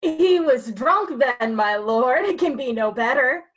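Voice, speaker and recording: A woman playing a cheeky, gossiping gentleman courtier in a Shakespeare reading, glib and wry. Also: high voice, animated, measured pace, highs cut off.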